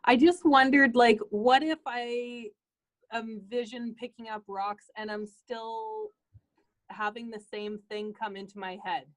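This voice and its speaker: A woman's voice: on the high side, steady tone, a little slow, poor audio. A woman on a video call asking the teacher a question in a casual, hesitant way, with fillers and restarts.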